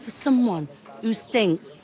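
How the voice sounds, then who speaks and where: An actress playing a brusque, sharp-tongued serving woman at a tavern in a medieval video game. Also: on the high side, expressive, takes it slowly. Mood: scornful. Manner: curt, mocking. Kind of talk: video game cutscene acting.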